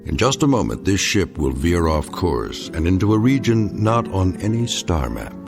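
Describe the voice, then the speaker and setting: An actor as a grave male narrator delivering dramatic scripted narration in a solemn, measured, portentous way. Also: low-pitched, very animated, vocal fry, music in the background, heavily acted. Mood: grave, ominous.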